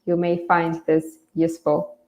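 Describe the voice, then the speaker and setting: A woman's voice: on the low side, completely flat delivery, unhurried, a little mellow. A woman from Bangladesh hosting an online English lesson, explaining things informally and a little hesitantly. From Bangladesh, grew up in Dhaka. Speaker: English teacher, webinar host. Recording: roomy, echoey sound.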